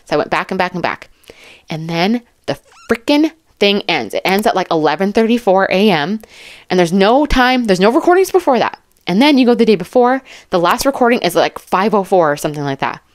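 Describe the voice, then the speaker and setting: A woman's voice: mid-range voice, animated, brisk, no echo. A woman giving casual true crime commentary, chatty and rambling, with an emphatic, informal way of talking.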